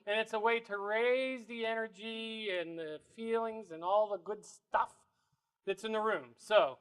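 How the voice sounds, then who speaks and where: A man with a big voice presenting a spiritual evening to a live audience, playful and quick to laugh. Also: high-pitched, a bit bright, smooth, rough recording. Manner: playful, laughs often, builds energy. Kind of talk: lively talk to a live audience. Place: bookstore event room.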